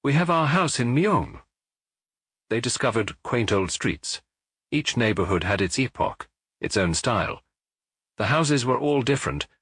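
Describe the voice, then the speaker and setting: A man's voice: on the low side, a bit bright, slightly rough, no room sound. A man reading a detective novel as an audiobook narrator, in scripted storytelling style.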